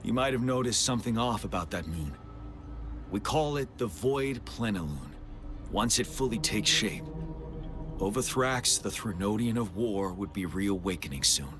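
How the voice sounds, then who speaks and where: A man playing a composed, dutiful army general in a game, speaking in a formal, measured, solemn way. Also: on the low side, expressive, over music, close to an everyday voice. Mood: grave, solemn. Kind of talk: English game cutscene voice acting.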